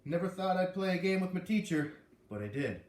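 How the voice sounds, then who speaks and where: A man's voice: sits high, animated, a little fast, a little mellow, voice pushed up. A man voicing a candid, grudging, wry schoolboy who confides plainly in a storybook. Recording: echoey room.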